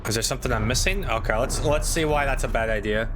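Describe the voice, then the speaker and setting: A man's voice: mid-range voice, quick pace, background noise. A man doing casual live commentary while he plays a video game. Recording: loud game music and battle sounds behind. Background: game music, gunfire and machine sounds.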